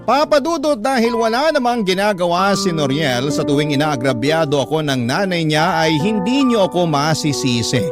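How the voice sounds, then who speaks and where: A man with a Filipino accent reading a letter as dramatic first-person radio narration, earnest and confiding. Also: a little high. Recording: music bed under the voice. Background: background music.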